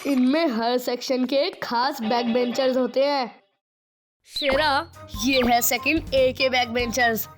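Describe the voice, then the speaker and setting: A woman voicing a bossy, self-important schoolchild who lays down rules in a Hindi children's cartoon. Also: high voice. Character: bossy schoolchild, gang spokesperson of backbenchers.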